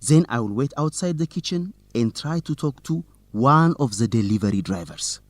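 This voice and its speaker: A man's voice: medium pitch, expressive, moderate pace, no room sound, close to an everyday voice. An actor playing a determined, teasing young male student reporter in an educational radio drama, in plain, simple sentences. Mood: determined, suspicious.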